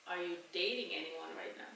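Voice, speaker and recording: A woman's voice: on the low side, brisk, vocal fry, sharp tone. A woman asking a friend questions in a casual chat, playful and teasing. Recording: echoey room, mic at a distance.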